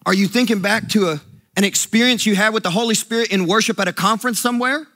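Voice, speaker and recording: A man preaching a sermon with emphatic, sing-song delivery that sometimes drops to a low, hushed voice. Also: fast talker. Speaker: pastor.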